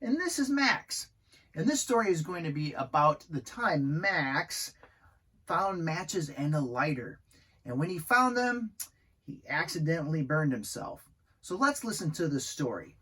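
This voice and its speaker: A man's voice: a little high, big pitch swings, a little slow, bright, forceful tone, husky. A man, a fire chief, hosting a children's story time and explaining things simply with questions and answers.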